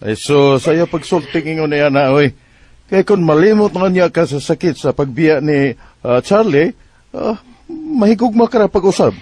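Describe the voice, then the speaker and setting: A man's voice: on the high side, animated, normal speed, darkish tone, no echo. An actor playing a protective middle-aged father in a Bisaya radio drama, speaking insistently with a Cebuano accent. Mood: firm, concerned. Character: protective father advising his heartbroken grown daughter.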